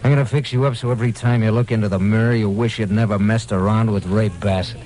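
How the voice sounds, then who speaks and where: An actor plays a sneering American gangster in a vintage radio crime drama. His voice sits fairly low, and he talks in slangy threats, a tough swindler used to bullying people. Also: steady tone, quick pace, darkish tone, natural voice, poor audio. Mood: threatening. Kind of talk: old-time radio detective drama acting.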